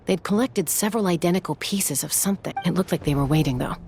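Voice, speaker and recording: Woman acting a low-voiced serious young warrior woman. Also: fast talker, a little mellow, faint music behind, natural voice. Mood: composed. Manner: level-headed, no-nonsense. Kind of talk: video game voice acting.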